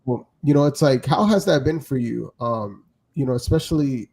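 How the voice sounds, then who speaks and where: A man's voice: mid-range voice, lively intonation, moderate pace, gravelly, darkish tone. A man hosts a casual podcast chat in a mid-range voice. He asks wandering questions padded with fillers. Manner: rambling, filler-heavy questions. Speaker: podcast host.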